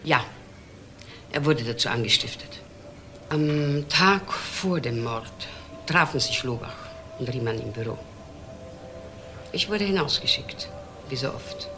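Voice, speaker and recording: A quiet secretary testifies in a crime drama. The voice is slightly high and very unhurried, terse and measured, with clear music underneath. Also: male voice, lively intonation. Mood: subdued, deliberate. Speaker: actor in witness part.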